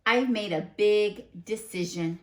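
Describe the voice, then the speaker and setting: A woman's voice: medium pitch, takes it slowly, darkish tone, echoey room, close to an everyday voice. A woman voicing a small male seed from a storybook, an earnest reformed troublemaker who confesses with emphatic phrasing. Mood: hopeful, sheepish.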